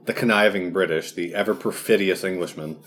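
Male podcast co-host, very deep voice, dry teasing wit. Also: a little fast, a bit bright. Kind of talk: casual chat about films.

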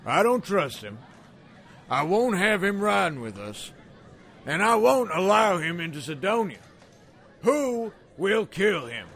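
Burly frontier emissary, blunt and suspicious, slow delivery, highish voice, western radio play. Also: male voice, big pitch swings, a bit bright, full character voice. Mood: hostile, commanding. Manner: blunt, commanding. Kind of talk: weird-western radio drama acting.

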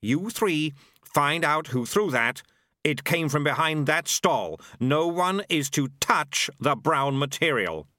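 A man's voice: fairly high pitch, expressive, slow, pushed and bright, dry recording, studio quality, pitched above the performer's own voice, full character voice. A man voicing a tyrannical, unravelling inhuman official with strained, declamatory delivery in a fantasy audiobook. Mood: stern orders.

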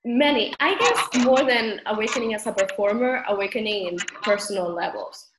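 A woman's voice: mid-range voice, moderate pace. A woman from Spain with a Spanish accent, a guest speaking in a casual interview and laughing often as she talks. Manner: animated, laughs often while talking. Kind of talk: conversational interview. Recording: echoey room with background noise.

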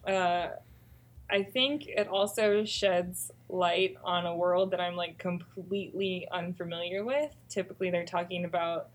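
A woman's voice: lowish voice, level tone, unhurried. A young woman co-hosting a podcast, giving her views on music in an informal, conversational way. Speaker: podcast co-host. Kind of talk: casual podcast chat about music.

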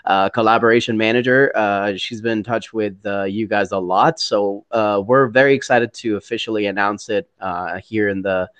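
Host: low-voiced man, dry recording, eager and effusive. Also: fairly even intonation, moderate pace, few pauses, fairly bright tone, clear, resonant tone, no echo. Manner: enthusiastic, effusive. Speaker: interview host for a community. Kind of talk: casual interview chat.

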